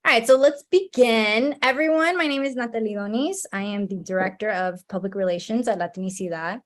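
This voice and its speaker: A woman's voice: fairly high pitch, a little fast. Casual woman hosting an online panel. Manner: friendly, laughs often, relaxed. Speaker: event host, PR director.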